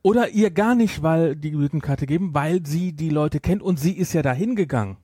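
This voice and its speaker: A man's voice: on the high side, expressive, brisk, dark tone, husky, no room sound, studio quality. A man co-hosting a podcast in casual chat, emphatic and opinionated, repeating himself to press his point. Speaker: podcast co-host. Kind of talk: casual chat reviewing audio drama.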